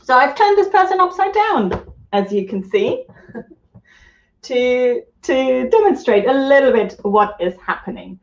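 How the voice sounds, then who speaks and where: A woman's voice: high voice, expressive, a little slow, roomy sound, muffled recording. A woman from Germany teaching yoga on a live stream, chatting casually and reading out viewer comments.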